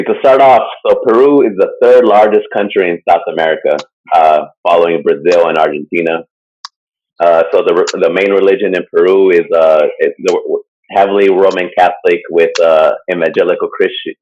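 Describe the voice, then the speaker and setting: A man with a very low, deep voice chats loosely about a topic he's presenting, leaning on "like" and "uh" and chuckling often. The recording is dull and lo-fi. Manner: rambling, full of "like" and "uh" fillers, chuckles often. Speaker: podcast co-host presenting a topic. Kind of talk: casual chat. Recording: dull lo-fi sound.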